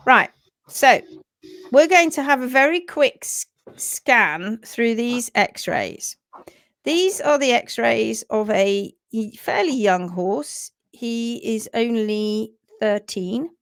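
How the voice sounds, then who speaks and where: A woman hosting a livestream about horse hoof care, chatty and teaching. She has a sore throat and a croaky voice, and she mutters to herself while she fumbles with her screen. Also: fairly high pitch, animated, takes it slowly, no echo, clean studio sound. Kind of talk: casual livestream talk and teaching. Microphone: computer mic on a video call.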